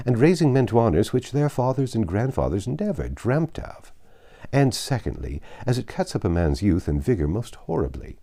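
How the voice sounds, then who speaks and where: A vain, haughty old baronet from a classic storybook, acted out by a male reader. He speaks with pompous disdain and emphatic, highly varied intonation. The tone is soft-edged rather than sharp. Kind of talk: theatrical audiobook character reading.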